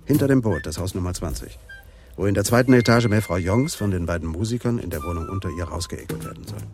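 An actor playing a sceptical, dry-witted police detective, matter-of-fact, in a radio crime play. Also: male voice, deep voice, lively intonation, fast talker, music in the background. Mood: reporting.

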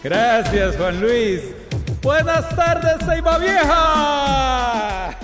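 Pompous, animated corporate villain. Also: male voice, very high-pitched, extremely slow, over music, heavily acted. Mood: grandiose. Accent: Central American. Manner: pompous, domineering. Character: arrogant, scheming soft-drink company boss, contemptuous of villagers. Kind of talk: radio drama acting.

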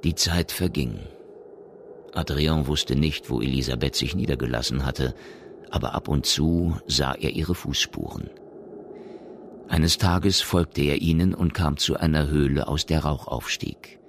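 Very deep, gravelly male narrator. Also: measured pace, a little hiss or hum. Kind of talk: scripted narration of a science fiction radio play.

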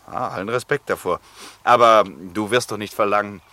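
An actor playing a self-assured middle-aged count in a radio play, hearty, chuckling and a little declamatory. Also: male voice, on the low side, normal speed, bright, forceful tone. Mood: breezy, assured. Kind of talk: German radio play acting.

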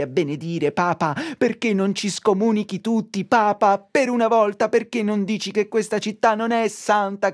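An actor playing a fed-up, insistent Roman who harangues in a satirical theatre monologue, declamatory and repetitive. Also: male voice, fairly high pitch, no echo, studio quality, lightly acted. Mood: impassioned.

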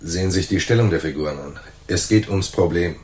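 An actor playing a world-weary, sardonic private detective who also narrates in a radio crime drama, with a dry, wry, clipped delivery. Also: male voice, low-pitched, brisk, a little mellow, natural voice. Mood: cool, wary.

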